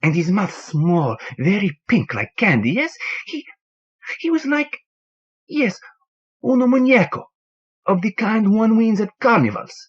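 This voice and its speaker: A man voicing a grieving, excitable Cuban male bartender who exclaims in a high, breaking voice. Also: measured pace, slightly rough, heavily acted. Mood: eager. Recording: dull lo-fi audio.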